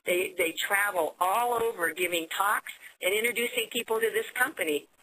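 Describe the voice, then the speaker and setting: An American woman hosting a business conference call, giving an upbeat sales pitch and often repeating words as she goes. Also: moderate pace, pushed and bright, low-quality recording. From United States.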